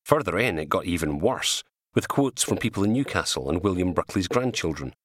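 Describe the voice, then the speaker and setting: A man reading his own crime novel as an audiobook, in an animated scripted narration. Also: sits low, fairly quick, dry recording.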